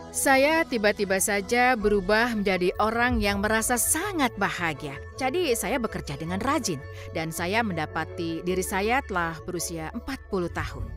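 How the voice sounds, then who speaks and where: A woman calmly and earnestly reading a translated personal testimony as a voice-over, with measured pauses. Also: on the high side. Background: background music.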